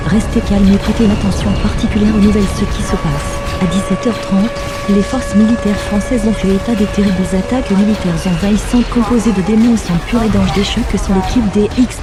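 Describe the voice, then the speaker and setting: A formal, synthetic-sounding announcer reading a scripted emergency broadcast alert. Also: medium pitch. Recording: music behind the voice.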